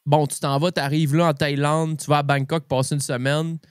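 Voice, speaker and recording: Higher-voiced Québécois man, halting and slangy. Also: flat delivery, smooth, no echo, studio quality. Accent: Québécois French. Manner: informal, slangy, halting with repeated false starts. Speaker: podcast host. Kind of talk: casual interview chat.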